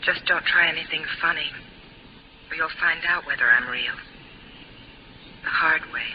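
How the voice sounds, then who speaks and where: Tough, bright-voiced woman fugitive. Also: low voice. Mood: guarded. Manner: brisk, blunt, challenging. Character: tough, guarded young female fugitive with a gun. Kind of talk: 1950s radio drama acting. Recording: noisy old 1950s radio recording.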